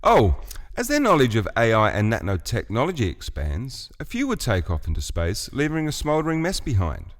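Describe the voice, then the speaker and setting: A man's voice: mid-range voice, wildly swooping pitch, moderate pace, hardly any pauses. An actor playing a stern alien president in a radio play, speaking with oratorical authority as he passes judgement. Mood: resigned, firm. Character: commanding alien male president, stern creator passing judgement.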